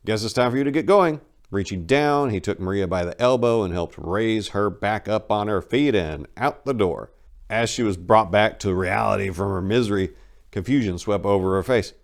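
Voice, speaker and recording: A man with a deep voice serves as host and narrator. He delivers scripted story narration in a dramatic, theatrical way, leaning on words for emphasis. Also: moderate pace, vocal fry.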